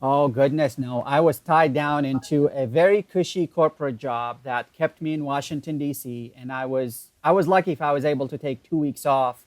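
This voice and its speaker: A man's voice: on the high side, dry recording. Man with an Iraqi Arabic accent, dry sound. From Iraq. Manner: relaxed, laughs easily, halting with fillers. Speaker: guest. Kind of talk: casual interview storytelling. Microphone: video call.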